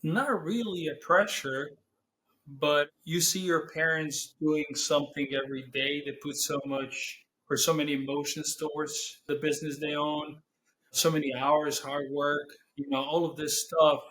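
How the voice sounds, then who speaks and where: A young man speaks with a Serbian accent, his slightly raised voice carrying relaxed interview answers. English is not his first language, and he pauses now and then to find the right word. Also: a little high, slight background noise, rough recording. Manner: relaxed, searching for words in non-native English. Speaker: guest, business owner.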